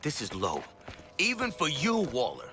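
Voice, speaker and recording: An indignant father and hardened fighter in a game cutscene, over music. He protests emphatically, his pitch swinging very widely. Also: wildly swooping pitch, unhurried. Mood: disgusted. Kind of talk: video game cutscene acting.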